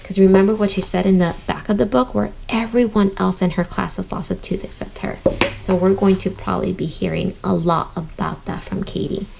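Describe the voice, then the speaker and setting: A woman's voice: medium pitch, steady tone, fairly quick, creaky, a little mellow, slight background noise, poor audio. A woman teaching a children's read-aloud reading lesson, addressing her listeners directly and thinking aloud.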